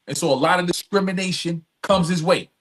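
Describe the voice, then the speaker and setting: Husky guy on a podcast, slightly high voice, earnest, repeats himself to drive it home. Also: a little fast, fairly bright tone. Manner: earnest, emphatic, repeating phrases and checking in with "right?". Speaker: podcast guest, advocate and executive director. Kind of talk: conversational storytelling with earnest testimony.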